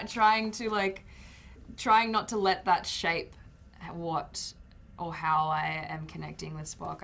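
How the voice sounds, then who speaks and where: A woman with a slightly low voice talks in a roundtable interview. She speaks softly and thoughtfully, pausing and restarting as she thinks. Her tone stays casual. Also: gravelly. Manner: thoughtful, soft, hesitant. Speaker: actor guest.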